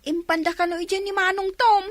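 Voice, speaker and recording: Piercingly high, flustered Filipino younger sister. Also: female voice, fairly even intonation, brisk, dry recording, studio quality. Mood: panicky. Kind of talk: radio drama acting.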